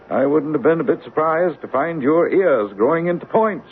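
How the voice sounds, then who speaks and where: An actor playing a crusty older Yankee banker in a radio drama, dry and formal, with a husky voice. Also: male voice, on the high side, expressive, unhurried, a little hiss or hum. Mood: dry, wistful. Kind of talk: old-time radio drama acting. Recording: tinny vintage radio broadcast.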